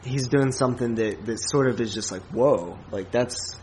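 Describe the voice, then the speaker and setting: A man's voice: mid-range voice, normal speed, a little mellow, slight background noise, rough recording. A man hosts a casual film review chat. His talk is informal and slangy. He often halts and circles back over phrases before landing his point. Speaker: podcast host.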